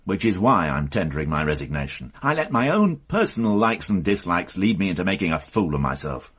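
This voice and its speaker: A weary, self-reproaching police inspector in a radio drama. His voice is very deep and husky, rising and falling as he speaks. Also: big pitch swings, a little fast, a bit bright, heavily acted. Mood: rueful. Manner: weary, rueful.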